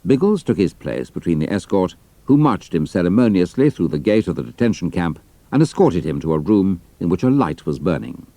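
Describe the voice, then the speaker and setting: A man's voice: low-pitched, very animated, fairly quick, warm tone, no echo. A British man reading scripted narration for an adventure story.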